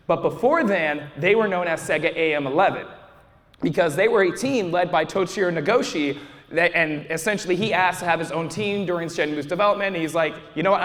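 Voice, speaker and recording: A man's voice: high voice, a bit bright. Young man rambling at a convention panel. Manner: rambling, frequent restarts and fillers. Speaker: YouTuber presenting a convention panel. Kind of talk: casual live panel talk. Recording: audience recording with long hall reverb.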